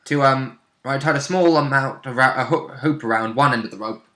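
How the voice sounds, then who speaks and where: A man speaks as a player in a tabletop role-playing chat. His voice is bright and sits at a middle pitch, with an informal, conversational delivery. The room adds a noticeable echo. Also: brisk. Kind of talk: casual chat. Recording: roomy, echoey room sound.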